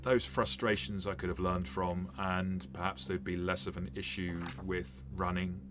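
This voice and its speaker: A man's voice: sits low, little pitch movement, normal speed, noisy background. A man who runs tabletop games, giving a casual, rambling commentary while unboxing a game set, with many fillers and restarts. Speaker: game master and reviewer.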